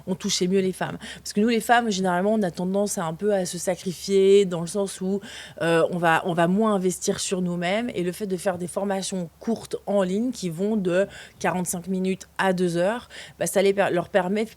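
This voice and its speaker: A woman being interviewed as a company founder, answering in a lively, casual way with many fillers and restarts. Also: mid-range voice, moderate pace. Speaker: guest, founder of a digital training company.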